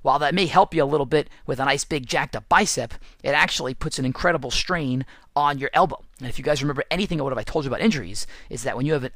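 A man hosting a casual podcast, hoarse and raspy from losing his voice, chatty and self-deprecating. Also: mid-range voice, a bit bright, no echo. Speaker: podcast host and football coach. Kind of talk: casual solo podcast with ad read.

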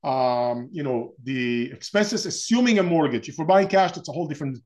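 A man's voice: on the high side, expressive, a little fast, a little mellow. Israeli-accented man hosting a webinar. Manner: many restarts and fillers, explanatory. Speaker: webinar host. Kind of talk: webinar presentation.

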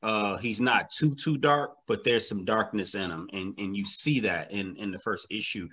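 A man's voice: fairly low pitch, a bit bright, breathy edge. A man speaking as an interview guest in casual, slangy conversation, repeating words and feeling his way through sentences. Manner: halting, repeats words, slangy, often says "man" and "you know". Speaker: interview guest, comic book creator.

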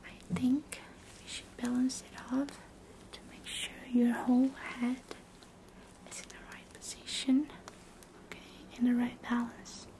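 High, whispering woman doctor, ASMR. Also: level tone, measured pace, low-quality recording. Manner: soft close whispering; gentle, reassuring bedside talk. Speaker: ASMR creator role-playing a doctor. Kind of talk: ASMR roleplay. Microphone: binaural mic.

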